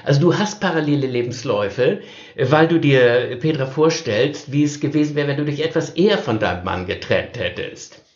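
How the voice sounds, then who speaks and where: A man's voice: mid-range voice, expressive, a little fast. Musing man in a roomy, dull recording. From northern Germany. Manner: musing, teasing, many hesitations. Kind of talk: casual remote chat.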